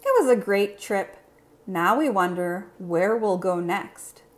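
A woman reading a children's picture book aloud in an expressive, simple storytelling manner. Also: medium pitch, slow. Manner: clear, simple, expressive delivery for young children.

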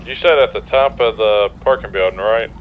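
A low-pitched man gives radio-style check-ins in a roleplay game. The audio is dull and lo-fi, with faint vehicle noise behind him. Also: moderate pace. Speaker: player in an online roleplay game.

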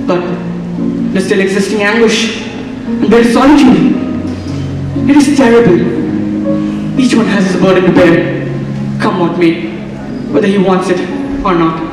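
A man's voice: high voice, natural voice. A young man acting an earnest, idealistic poet in a school stage play, speaking in a lofty, declamatory way. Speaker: student actor. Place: large auditorium stage. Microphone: far camera. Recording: echoey hall from far off, music.

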